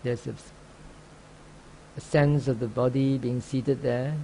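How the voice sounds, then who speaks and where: A man teaching guided meditation, speaking calmly and unhurriedly in short phrases with long pauses. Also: medium pitch, gravelly, a little hiss or hum, rough recording. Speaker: meditation teacher, Buddhist monk.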